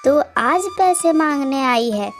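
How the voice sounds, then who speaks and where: A proud, evasive village sister voiced by a woman in a cartoon moral tale. She talks in a very high voice with a condescending, excuse-making manner, faint music underneath. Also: animated, slow pace, full character voice. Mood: smug, evasive. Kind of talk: cartoon moral story acting.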